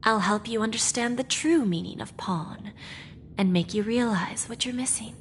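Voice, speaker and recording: A woman's voice: fairly high pitch, moderate pace, a little hiss or hum, natural voice. An actress voicing an enigmatic female pawnbroker in a game, speaking with cool, knowing condescension. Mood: teasing. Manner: silky, coolly condescending. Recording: game audio with music behind.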